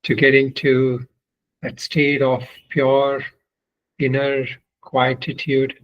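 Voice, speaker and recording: Slow, even-toned man guiding meditation. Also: medium pitch, muffled recording. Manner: deliberate, measured, with long pauses between short phrases. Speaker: meditation guide, swami. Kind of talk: guided meditation, spoken instruction.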